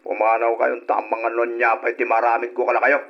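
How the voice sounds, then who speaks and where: A man's voice: mid-range voice, flat delivery, fairly quick, close to an everyday voice. A man acting an abrasive, bossy, hard-drinking husband and father in an old radio drama, scornful and barking orders. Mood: defiant, angry. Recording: dull lo-fi radio drama recording.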